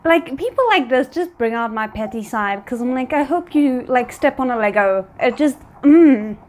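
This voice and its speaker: A woman's voice: sits high, lively intonation, normal speed, darkish tone. A woman giving casual, off-the-cuff reaction commentary as she watches a show, with muttered wry asides. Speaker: reaction video host.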